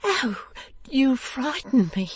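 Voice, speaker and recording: A timid wife from a storybook as a man reads her, pleading tearfully in a high, breathy voice. Her words stretch out into sobs. The recording is dry. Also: sing-song, slow, no echo, exaggerated voice. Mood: startled. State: sobbing.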